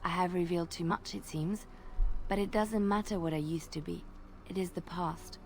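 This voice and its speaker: A woman voicing a graceful female bard in a video game, telling tales in a measured, lilting way. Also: on the low side, steady tone, darkish tone, faint music behind, natural voice. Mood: storytelling. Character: graceful female bard, gentle devout storyteller. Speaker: game character. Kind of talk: voiced game dialogue.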